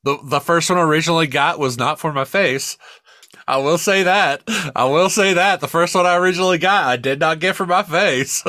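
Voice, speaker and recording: A man with a bright, fairly high voice that swings widely in pitch. He talks as a podcast co-host, bantering casually and rambling with plenty of swearing. The sound is close and dry. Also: a little fast, no echo.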